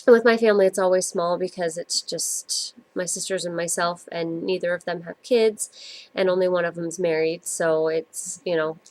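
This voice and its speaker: A woman's voice: fairly low pitch, steady tone, moderate pace, darkish tone. A woman in her thirties from the United States, hosting her own podcast in a casual, rambling way with lots of ums, her nose stuffed up from a cold. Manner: rambling, many ums and self-corrections. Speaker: podcast host. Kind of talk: casual solo podcast chat.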